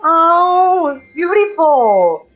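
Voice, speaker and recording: A woman's voice: very high voice, big pitch swings, extremely slow, phone-quality sound, soft background music. Chatty woman streamer. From Mexico. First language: Spanish. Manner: chatty, greets viewers by name, reads game text aloud. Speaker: game streamer. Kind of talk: casual live-stream gameplay chat.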